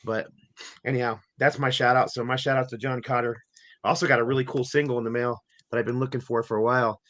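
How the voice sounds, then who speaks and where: Bright-voiced man, voice going in and out. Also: medium pitch, little pitch movement, fast talker. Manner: rambling, enthusiastic storytelling with restarts. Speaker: podcast co-host. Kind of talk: casual chat.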